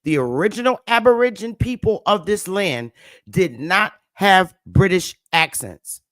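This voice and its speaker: A woman's voice: mid-range voice, expressive, measured pace, no room sound. A woman with a Northern US accent hosting a livestream, lecturing her viewers emphatically, repeating her points and calling on the chat to answer back. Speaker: livestream host.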